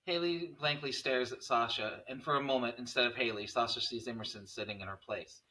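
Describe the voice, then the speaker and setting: A man's voice: medium pitch, a little fast, sharp tone, low-quality recording. A man reading scene headings and stage directions as narrator at a horror script table read.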